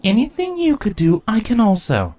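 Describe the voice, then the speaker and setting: A man's voice: sits high, huge pitch swings, moderate pace, darkish tone, no room sound, pitched above the performer's own voice. A man voicing a firm, formal and caring female changeling queen in a fantasy storybook. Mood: firm, composed.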